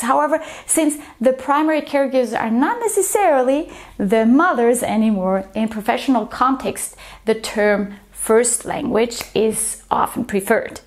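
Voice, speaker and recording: A woman, a teacher explaining language topics to camera in an earnest, step-by-step way, recorded with a lapel mic in a small bright living room. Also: on the high side. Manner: earnest, explains step by step, restarts when telling anecdotes. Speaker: teacher and channel host. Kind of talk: educational talk to camera.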